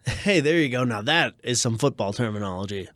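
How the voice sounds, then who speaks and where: A man's voice: mid-range voice, expressive, a little fast, fairly bright tone, no room sound. A man co-hosting a radio show in scripted comedic banter, nitpicking and delivering dry quips.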